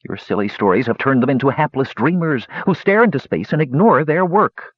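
A man's voice: mid-range voice, lively intonation, a little fast, husky, no room sound, voice pushed up. A man voicing a cruel, smiling woman warlord who passes judgment in a cold, mocking, commanding way. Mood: sneering. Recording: dull lo-fi recording.

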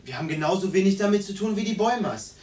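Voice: medium pitch, lively intonation, rapid-fire, darkish tone, recorded from a distance, natural voice. Actor as brash defiant teen boy in a radio play, roomy sound, bit off mic. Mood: defiant, angry. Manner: brash, insistent. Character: headstrong, defiant seventeen-year-old boy, older brother. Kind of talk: radio drama acting.

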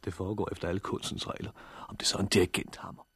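A man's voice: deep voice, flat delivery, a bit bright, breathy edge, no echo, exaggerated voice. A man acting in a 1960s radio drama as a practical, wary catastrophe survivor, earnest and matter-of-fact. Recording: noisy old radio drama recording.

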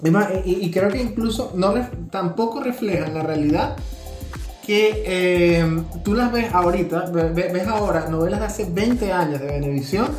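A Venezuelan man co-hosts a conversational podcast in an explanatory way. He often tags his points with a quick check for agreement. The room is echoey and music plays behind him. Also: sits high, a little mellow. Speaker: podcast co-host. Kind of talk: casual conversational discussion. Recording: roomy echo with music behind.

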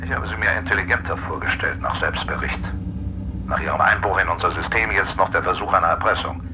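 An actor playing a cold, condescending company boss in a radio drama, heard on a played-back cassette. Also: male voice, deep voice, quick pace. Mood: cold, dismissive. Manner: condescending, dismissive. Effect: cassette recording played back. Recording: dull, noisy humming tape recording.

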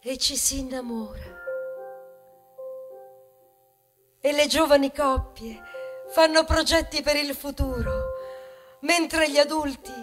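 Female corn cob, stage ballad: proud and tender, unhurried, highish voice, lyrical delivery over music. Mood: dreamy. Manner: lyrical, savouring words with dramatic pauses. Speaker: stage actress. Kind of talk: theatrical poetic monologue, stage storytelling.

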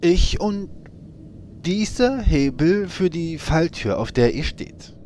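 A man's voice: fairly high pitch, expressive, slow, darkish tone, a little hiss or hum. A man playing a mysterious hooded henchman in an audio drama parody, menacing and dryly self-aware. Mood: ominous.

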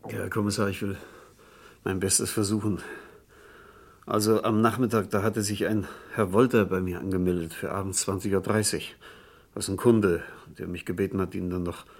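Husky, low-voiced, evasive middle-aged suspect. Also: male voice, fairly even intonation, moderate pace, a little mellow. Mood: hesitant, careful. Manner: hesitant, careful, formal account. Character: evasive middle-aged householder, a suspect pleading self-defence. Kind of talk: 1960s German radio crime drama acting.

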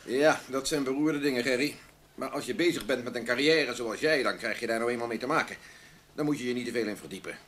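An actor playing an imposing, jovial business boss in a radio drama, expansive, commanding and ironically flattering. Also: male voice, medium pitch, quick pace, slight background noise, lightly acted. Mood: probing, needling. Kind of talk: naturalistic Dutch radio drama.

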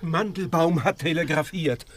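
An actor playing an aggrieved, self-important festival impresario in a declamatory radio drama manner. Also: male voice, on the high side, normal speed, background noise, close to an everyday voice. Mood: dismayed.

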